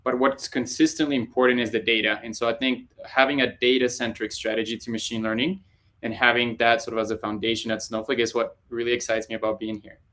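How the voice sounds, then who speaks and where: A man's voice: mid-range voice, flat delivery, quick pace, pushed and bright. A man from Colombia, a panel guest explaining his work in a conversational way over a video call, with frequent "uh" fillers. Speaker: panel guest, data scientist.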